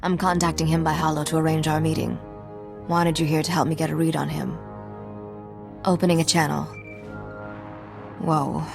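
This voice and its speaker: Wry, low-voiced royal smuggler woman. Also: level tone, normal speed, gravelly, pauses often, soft background music. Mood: wry, businesslike. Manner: dry, wry, self-assured. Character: sardonic young female smuggler and exiled royal heiress. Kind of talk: video game dialogue acting.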